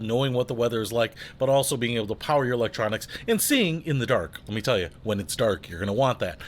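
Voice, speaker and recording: An upbeat man talks through a gadget review in a studio, playful and showy in his delivery. His voice sits at an ordinary pitch for a man, with a faint hiss of noise behind him. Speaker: product reviewer and channel host. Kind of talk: casual product review.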